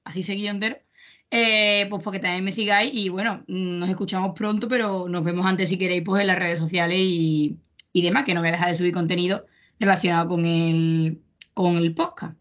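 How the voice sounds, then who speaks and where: A woman's voice: on the low side, fairly even intonation, a little fast, fairly bright tone, highs cut off. A young woman hosting her podcast in a loose, chatty way, with frequent restarts. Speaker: podcast host.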